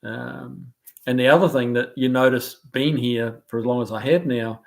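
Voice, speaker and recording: A man from New Zealand with a softened New Zealand accent, hosting a casual live stream and chatting through viewer comments aloud. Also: mid-range voice, fairly even intonation, moderate pace, vocal fry. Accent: New Zealand, softened by years in the US.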